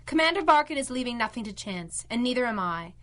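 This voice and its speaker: Bossy villainess, high pitch, formal and haughty, old time radio drama. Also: female voice, animated, quick pace, fairly bright tone. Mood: imperious. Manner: formal, authoritative. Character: imperious female villain, a commanding criminal lieutenant.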